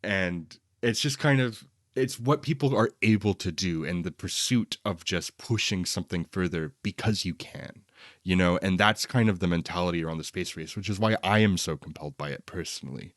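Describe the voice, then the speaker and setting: A man's voice: sits low, normal speed, dry recording, studio quality. A man co-hosting a film podcast, chatting casually in an enthusiastic, rambling way. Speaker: podcast co-host reviewing films.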